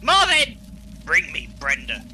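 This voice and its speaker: Bright, forceful, melodramatic comic villain. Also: male voice, high-pitched, huge pitch swings, takes it slowly, slight background noise, full character voice. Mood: furious. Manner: melodramatic, grandly pompous. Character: flamboyant, egotistical comic villain, theatrical and petulant. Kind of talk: comedy radio play acting.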